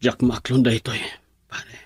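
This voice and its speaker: A man's voice: mid-range voice, completely flat delivery, measured pace, a little mellow, husky, no echo, studio quality. A man with an Ilocano accent playing a heartbroken young husband in a radio drama. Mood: pained.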